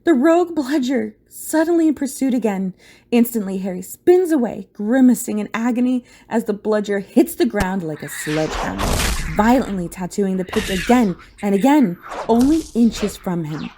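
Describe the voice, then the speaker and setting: A woman's voice: medium pitch, animated, moderate pace, mellow, a little hiss or hum. A woman narrating a scripted audio drama in a dramatic storytelling style.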